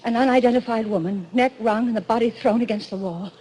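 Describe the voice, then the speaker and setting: A woman's voice: fairly high pitch, fast talker, a little mellow, close to an everyday voice. An actress playing a frightened, haunted woman in breathless, stammering 1940s radio melodrama. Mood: urgent, fearful. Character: terrified young woman haunted by a killer. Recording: noisy vintage radio broadcast.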